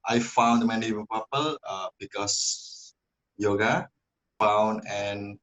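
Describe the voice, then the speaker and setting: Young indonesian guy, deep-ish voice, real slow halting english, interview chat, muffled lo-fi audio. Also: a bit bright. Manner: halting, searching for English words. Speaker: 2D animator, interviewee. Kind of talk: casual interview answers.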